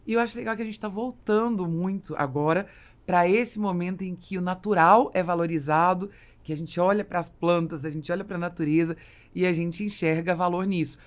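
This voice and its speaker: A Brazilian woman speaks as the host of a business podcast. Her voice sits a little low for a woman, and she talks in a conversational interviewing style. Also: brisk.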